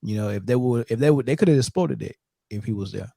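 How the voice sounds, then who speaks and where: Guy hosting a sports fan live chat, talking trash, mellow n dry sounding. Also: mid-range voice, quick pace, vocal fry, no room sound. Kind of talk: casual sports talk.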